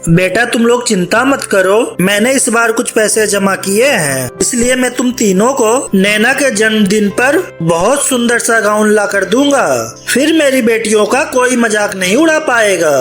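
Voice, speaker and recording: A man playing a gentle, loving poor labourer father in a cartoon story, tender and reassuring. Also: sits high, lively intonation, a little slow, hardly any pauses, soft background music. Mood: caring.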